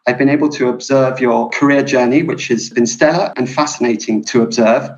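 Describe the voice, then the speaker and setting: A man with a mid-range voice hosts a podcast. He introduces his guest at a measured pace and reads out lists one item at a time, in the manner of prepared remarks. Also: mid-range voice, steady tone, a little mellow, dull audio. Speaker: podcast host.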